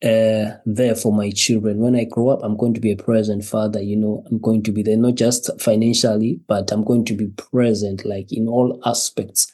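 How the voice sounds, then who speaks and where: A man from Zimbabwe, a podcast guest telling his personal story in a halting way, repeating words and laughing between phrases. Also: on the low side, level tone, warm tone, husky.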